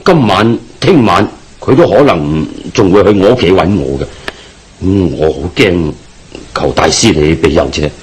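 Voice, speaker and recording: A man's voice: low-pitched, animated, measured pace, breathy edge, heavily acted. A man with a Hong Kong Cantonese accent playing an anxious, deferential businessman haunted by a ghost in a radio drama, hesitant and pleading in delivery.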